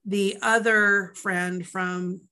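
A woman guest on a casual podcast talks about her books in a loose, rambling way, full of fillers and side remarks. Her voice is bright and forward, at an ordinary pitch for a woman. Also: steady tone, very slow. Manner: chatty, rambling, with many fillers and asides. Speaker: author guest.

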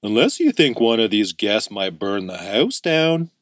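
A man's voice: on the low side, sing-song, unhurried, dry recording, studio quality. A man doing a wry, easygoing uncle. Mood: reassuring. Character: laid-back, wry adult uncle.

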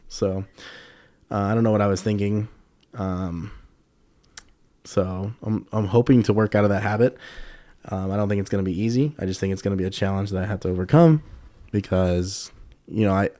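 Young guy, low voice, kinda stuffy-sounding, just rambling on his podcast, lots of ums, dry close mic. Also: warm tone, no echo. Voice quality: slightly congested. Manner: rambling, loose and candid, many fillers and swearing. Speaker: podcast host. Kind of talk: casual solo podcast chat.

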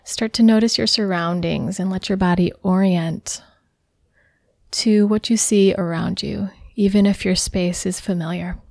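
Mellow woman guiding meditation, close and dry. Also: mid-range voice, steady tone, creaky, dry recording. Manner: soothing, unhurried, gently instructing. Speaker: meditation guide. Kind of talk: guided body scan meditation.

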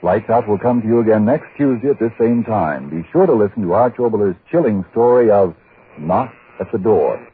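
A man's voice: fairly low pitch, lively intonation, moderate pace, narrow, tinny audio, slight background noise. A man announcing and reading sponsor copy in 1940s radio style, on a thin old broadcast recording.

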